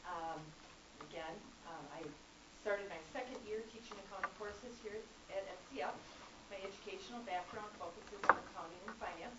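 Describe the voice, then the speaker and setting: A woman, an accounting instructor, giving a formal prepared public comment at a college board meeting in a measured, businesslike way. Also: medium pitch. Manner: measured, businesslike, reading figures. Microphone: speaking without a microphone. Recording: echoey distant room recording, dull, noisy.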